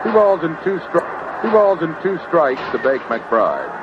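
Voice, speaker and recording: American baseball announcer, noisy old radio. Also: male voice, on the high side, wildly swooping pitch, a little slow, crowd noise. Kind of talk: live sports commentary. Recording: noisy, dull 1970s radio broadcast tape.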